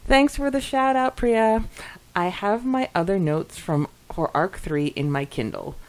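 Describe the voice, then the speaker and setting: A woman co-hosting a podcast in a casual, giggly, expressive way as she reads and reacts to listener comments. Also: mid-range voice, unhurried. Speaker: podcast co-host.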